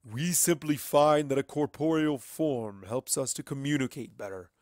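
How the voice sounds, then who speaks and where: A man's voice: mid-range voice, normal speed, a little mellow, no room sound, clean studio sound, pitched above the performer's own voice, lightly acted. A man voicing a cold, lofty otherworldly entity that speaks formally and condescendingly in a dramatic story reading. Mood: lofty, detached. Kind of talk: dramatic fan fiction reading.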